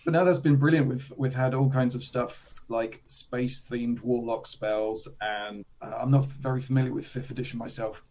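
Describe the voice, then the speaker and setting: A man, a slightly hoarse guest chatting casually about tabletop games, with self-deprecating asides. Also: medium pitch, fairly even intonation, normal speed, few pauses, a little mellow. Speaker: guest, tabletop game designer. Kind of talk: casual interview chat.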